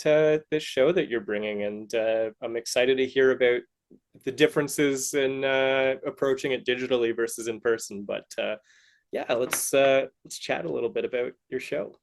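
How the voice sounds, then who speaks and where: A man hosting a casual interview podcast, speaking haltingly and circling back to rephrase his questions. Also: mid-range voice, vocal fry. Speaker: podcast host interviewing artists.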